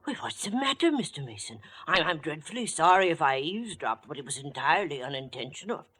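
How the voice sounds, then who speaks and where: A man's voice: fairly high pitch, sing-song, fast, bright, forceful tone, breathy edge, exaggerated voice. An actor playing a timid, fussy older man, hesitant and over-polite, in 1940s radio style. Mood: apologetic. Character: timid, fussy little old man, excitable and apologetic.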